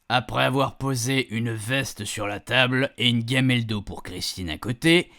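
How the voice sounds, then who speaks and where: A man's voice: mid-range voice, fairly bright tone. A man playing a chatty older husband who tells his camping memories in colloquial French-accented speech for a radio fiction, savouring words and grumbling in asides. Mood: advising, grumbling. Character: chatty, grumbling, nostalgic married man, an amateur camper. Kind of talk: first-person storytelling in a radio fiction podcast.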